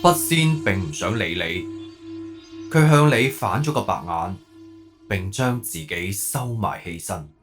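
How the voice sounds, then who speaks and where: A man voices a detached game system announcing mission results in a radio play. The voice is low and formal, with clear music underneath. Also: expressive, measured pace, a bit bright. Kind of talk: scripted announcement in audio drama.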